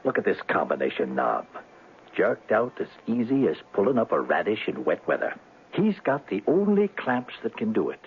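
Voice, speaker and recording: Hard-nosed detective, low gravelly voice, clipped and emphatic, thin noisy radio sound. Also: male voice, huge pitch swings, moderate pace, dull audio. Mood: insistent, certain. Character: gruff, certain, dogged insurance detective, a man. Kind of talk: old-time radio drama acting. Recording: band-limited old radio broadcast with hiss.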